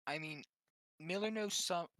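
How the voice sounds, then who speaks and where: A man's voice: sits high, a little slow, sharp tone, no echo, clean studio sound. A man chatting casually as a player in a tabletop game, hesitant and questioning.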